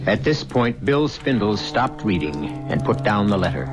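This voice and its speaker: Male narrator, measured, lowish voice, vintage radio drama, prominent music. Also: very animated. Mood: grave. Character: male narrator of an eerie tale.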